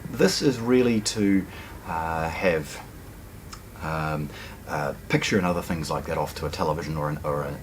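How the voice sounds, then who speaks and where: A man's voice: sits low, slight background noise. A middle-aged man, presenting a casual product unboxing in a hi-fi store, chatty and enthusiastic with frequent ums and restarts. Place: hi-fi store, indoors.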